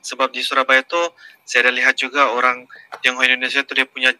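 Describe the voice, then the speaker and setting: A man's voice: mid-range voice, monotone, fast, sharp tone, clear, resonant tone, poor audio. A man with a Malaysian Malay accent giving chatty reaction commentary, addressing viewers as guys with frequent hesitations. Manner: chatty, keeps saying "guys", hesitant fillers. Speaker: reaction YouTuber.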